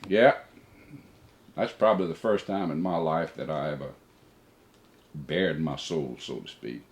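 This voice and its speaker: Older male, father figure with a very deep voice, for personal letter readings and reflections. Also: measured pace.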